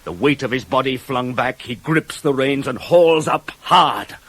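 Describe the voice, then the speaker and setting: An actor playing an anguished servant messenger in a Greek tragedy, with a measured, declamatory, intense delivery for radio drama. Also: male voice, mid-range voice, a bit bright. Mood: grim, urgent. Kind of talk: theatrical verse recitation for radio drama.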